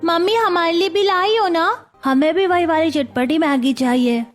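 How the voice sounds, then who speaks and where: A woman's voice: very high voice, normal speed, soft background music. A woman voicing an eager, adoring small child in a cartoon. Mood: eager, pleading. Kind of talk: scripted cartoon dialogue.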